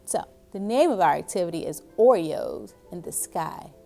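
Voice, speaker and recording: A woman's voice: fairly low pitch, lively intonation, moderate pace, creaky, mellow, faint music behind. A woman hosting a story time for young children, speaking to them in an encouraging way with frequent questions. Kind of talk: storybook read-aloud and craft instruction for young children.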